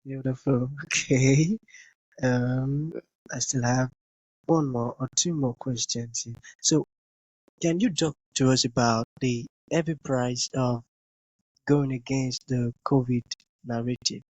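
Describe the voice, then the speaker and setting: A male host speaks very slowly, with brief reactions and careful questions. The sound is dry and close, with a dull, muffled tone. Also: mid-range voice, steady tone, a little mellow, dry recording. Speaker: interview host. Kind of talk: interview questions.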